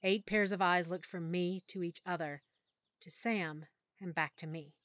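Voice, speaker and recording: A woman narrating a first-person audiobook in a soft, emotional storytelling manner. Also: on the low side, fairly even intonation, a little slow, no room sound.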